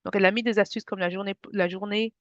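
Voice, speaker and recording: A woman's voice: mid-range voice, fairly even intonation, fast, no room sound. Woman running a parents webinar over video call, chatty and direct, dull dry sound. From France. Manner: friendly, conversational, addresses the audience directly. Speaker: workshop presenter. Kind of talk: informal webinar presentation to parents.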